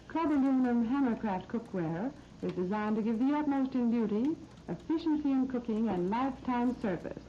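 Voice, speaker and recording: A woman with a fairly high American voice presents a scripted shopping segment on 1930s radio. Her delivery is promotional and instructive, like practical sales patter. The recording is dull and lo-fi, with faint noise from the old disc. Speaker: radio shopping program host.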